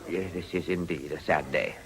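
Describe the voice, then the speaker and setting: An actor playing a genial parliamentary chief whip with an English accent, clipped and a little hesitant, in a radio drama. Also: male voice, sits low, normal speed, crowd noise. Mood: solemn.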